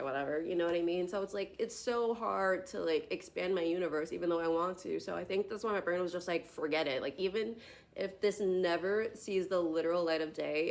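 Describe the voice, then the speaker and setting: A woman's voice: fairly low pitch, vocal fry. A woman in her late twenties, talking to herself on a tablet camera in a rambling vlog, full of tangents and filler words. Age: late 20s. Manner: tangential, filler-heavy, many "like" and "you know what I mean". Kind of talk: rambling solo vlog monologue.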